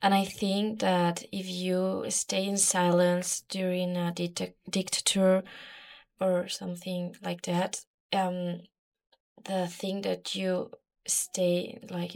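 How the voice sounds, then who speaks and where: A woman's voice: fairly low pitch, darkish tone. A young woman from Spain with a Spanish accent, hesitantly searching for words in an informal student discussion. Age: young adult. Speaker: student panelist.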